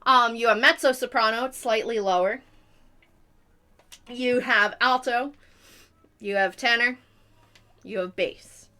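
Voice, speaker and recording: A woman's voice: a little high, slow, long pauses, sharp tone. A young adult woman chatting casually as a live streamer, explaining things in a rambling way. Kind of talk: casual chat.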